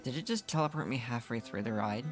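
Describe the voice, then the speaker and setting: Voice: mid-range voice, fast talker. A game streamer giving casual live commentary, musing and thinking aloud. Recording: loud game music behind. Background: game music.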